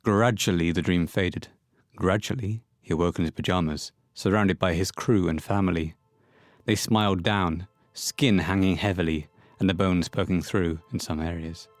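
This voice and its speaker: A man with a low voice narrates a scripted horror tale. He is recorded close and dry, with no room around him. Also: steady tone, moderate pace, no echo, faint music behind.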